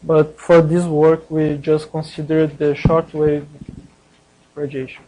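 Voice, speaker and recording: A man giving a scientific presentation in a hesitant, halting way. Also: on the high side, flat delivery, mellow, poor audio. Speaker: conference presenter.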